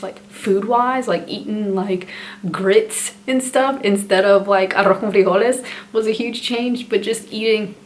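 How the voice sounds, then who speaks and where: A woman's voice: medium pitch, a little hiss or hum. A young woman vlogger, a native Spanish speaker, chatting casually to camera with many fillers and frequent small laughs. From El Salvador.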